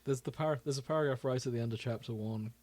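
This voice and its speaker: A man co-hosting a podcast in casual, rambling chat, informal and quick to laugh. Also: mid-range voice, darkish tone. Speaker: podcast co-host.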